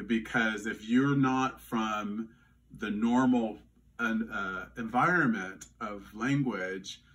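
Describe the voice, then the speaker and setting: A man from Louisiana with no regional accent, giving earnest, measured answers in an interview, often restarting his phrases. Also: on the low side, fairly bright tone, recorded from a distance.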